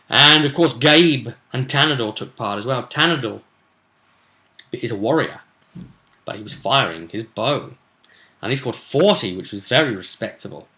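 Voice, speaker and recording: A man's voice: mid-range voice, sharp tone. A man talking informally as a game master, explaining rules and recapping results with enthusiasm and chatty asides. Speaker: game master recapping a tabletop role-playing session.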